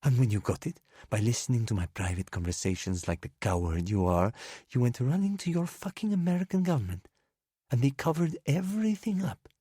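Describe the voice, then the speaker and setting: A man's voice: mid-range voice, lively intonation, warm tone, breathy edge, no room sound, clean studio sound, voice pushed up. Man putting on a drunk, furious woman. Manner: bitter, accusing, rushed. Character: drunk, furious Spanish woman, betrayed lover.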